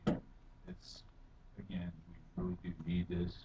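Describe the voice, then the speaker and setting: A deep-voiced middle-aged man testifies in a rambling way, with many fillers, and turns forceful as he goes. He sounds dull and distant, caught across a reverberant council chamber. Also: completely flat delivery, normal speed. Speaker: public testifier. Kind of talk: public testimony at a council hearing. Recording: echoey hall from far off, dull and noisy.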